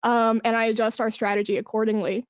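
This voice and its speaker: A woman's voice: a little high, flat delivery, quick pace, clear, resonant tone, no echo. A woman chatting casually as an interview guest, enthusiastic and emphatic about her work. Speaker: podcast guest, esports brand manager.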